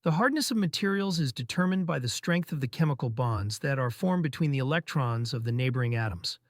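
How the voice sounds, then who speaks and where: A man reading a scripted passage aloud in a measured, formal manner. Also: mid-range voice, lively intonation, no room sound, studio quality. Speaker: narrator reading practice passages. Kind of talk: scripted read-aloud narration.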